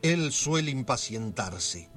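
An actor playing an intimate neighborhood storyteller, narrating a scripted radio drama in an unhurried way with a Buenos Aires accent. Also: male voice, medium pitch, lively intonation, fairly bright tone, soft background music. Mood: storytelling. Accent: Argentine, Buenos Aires.

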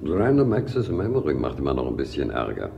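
An actor playing a gruff male computer technician in a German radio play. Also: very deep voice, wildly swooping pitch, fairly quick, mellow, exaggerated voice. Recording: distant, dull, echoey and noisy.

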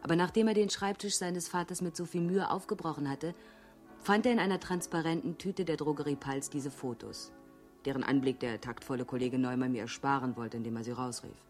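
A woman's voice: sits low, a little fast, a little mellow, faint music behind, close to an everyday voice. An actress in a radio crime drama playing a cool, outspoken younger police detective, composed and pointed in how she speaks. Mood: pointed, analytical.

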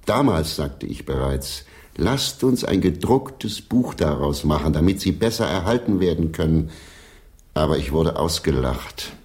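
An actor playing an earnest, doubtful English stage player of centuries past in a German radio drama, with a grave storytelling delivery. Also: male voice, a bass voice, expressive, a little slow, a little mellow. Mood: reminiscing.

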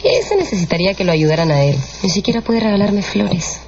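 An actress playing a weary, hard-headed young courtesan and stage star in a radio drama, languid and imperious. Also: female voice, mid-range voice, expressive, brisk, a little mellow, close to an everyday voice. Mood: weary, resigned. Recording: muffled audio, faint background noise.

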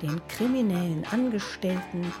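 A woman reading scripted radio feature narration in a measured, terse manner. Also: fairly low pitch, lively intonation, natural voice. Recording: music under the voice. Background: music.

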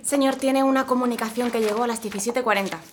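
An actress playing a dutiful, brave young military telegraph operator, formal and deferential, in a period audio drama. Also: female voice, a little high, fairly even intonation, fast talker, slight background noise, natural voice. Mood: dutiful reporting.

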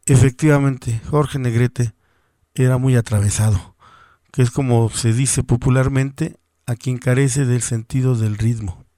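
A man hosting a music radio program, giving measured, informative introductions to songs with touches of dry humor. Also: medium pitch, fairly even intonation, warm tone, no echo. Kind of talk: spoken introduction between songs.

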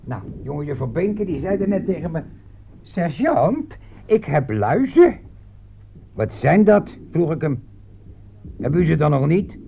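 An actor playing a gruff, bossy army sergeant in a Dutch radio war drama. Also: male voice, on the high side, very animated, measured pace, long pauses, a little hiss or hum, heavily acted. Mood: amused. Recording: dull, band-limited old radio recording.

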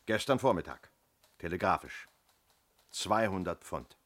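An actor playing a methodical, fair-minded police inspector in a German radio play, measured, matter-of-fact and probing. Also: male voice, low-pitched, lively intonation, fairly bright tone.

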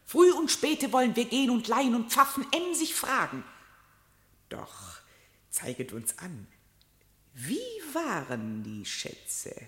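A woman's voice: on the high side, big pitch swings, slow, a bit bright, exaggerated voice. An actress playing an earnest, persuasive female counsellor at an animal court, declaiming and coaxing in a radio drama. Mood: hopeful, coaxing. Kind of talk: classic German radio drama verse acting.

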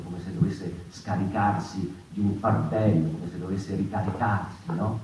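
Echoey, low-voiced actor as a rambling male storyteller. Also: darkish tone, natural voice. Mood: tender, wry. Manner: digressive, conversational, repeatedly seeking agreement. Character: rambling, impassioned male storyteller of the mouse folk. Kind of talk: theatrical monologue on stage. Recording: echoey, distant, noisy live theatre recording.

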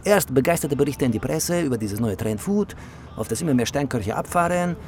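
A man's voice: mid-range voice, expressive, brisk, darkish tone, a little hiss or hum, lightly acted. An actor playing a slick, rambling wheeler-dealer in a radio crime drama, peppering his talk with Spanish interjections. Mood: scheming, excited.

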